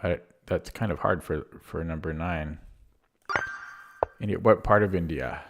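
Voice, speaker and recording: A man with a low, deep voice sounds hoarse and keeps clearing his throat. He streams chess live and mutters his way through moves. His talk is casual and unhurried. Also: heavy background noise. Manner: thinks aloud while playing, muttering over moves.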